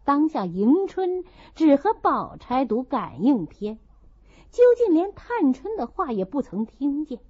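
A woman narrating a classic novel as an audiobook in an expressive storytelling style. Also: sits high, unhurried, no room sound. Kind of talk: expressive literary storytelling, character voices. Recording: dull lo-fi dry recording.

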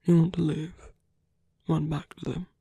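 Man playing a husky, whispering seductive villain. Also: fairly high pitch, level tone, dark tone, no echo, studio quality. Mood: measured, courteous. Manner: slow, whispery, teasing, deliberate. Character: seductive male villain, smug, coaxing, possessive yet courteous. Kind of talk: intimate ASMR roleplay acting.

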